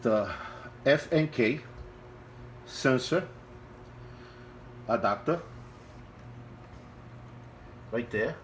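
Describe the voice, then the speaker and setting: A man with a non-native English accent, talking casually at home in a halting, repetitive way while filming an aquarium on his phone. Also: mid-range voice, expressive, a little hiss or hum. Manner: halting and repetitive, restarts phrases, earnest and pleading. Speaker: hobbyist vlogger. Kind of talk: casual home video walkthrough. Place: home, beside an aquarium indoors. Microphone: phone held by the speaker while filming.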